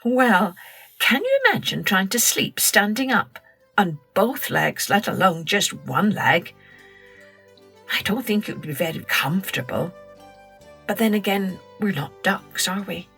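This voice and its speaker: A woman host narrating a children's story, gentle, expressive and unhurried. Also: mid-range voice, slightly rough, faint music behind.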